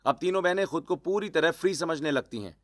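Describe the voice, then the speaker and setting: A man's voice: a little high, expressive, a little fast. A man reading scripted narration for a cartoon family story. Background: background music.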